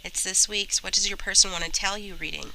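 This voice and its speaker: A woman with a stuffy, slightly rough voice from a cold, chatting casually as she gives a tarot reading. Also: medium pitch, fairly even intonation, fairly quick, bright, forceful tone, slight background noise, low-quality recording. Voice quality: a little rough. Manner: chatty, many fillers and restarts. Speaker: tarot reader.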